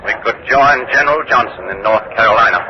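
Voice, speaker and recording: An actor playing a proud young Confederate artillery general in old-time radio drama, formal and oratorical in manner. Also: male voice, on the low side, fast, close to an everyday voice. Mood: defiant, impassioned. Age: young adult. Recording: muffled, very noisy old radio recording.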